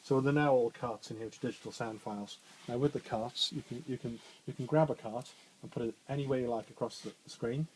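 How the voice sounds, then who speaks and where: Bassy studio trainer, chatty. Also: male voice, fairly quick, darkish tone, slight background noise, poor audio. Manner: chatty, informal instructor with frequent fillers and restarts. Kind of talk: hands-on technical demonstration. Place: radio studio.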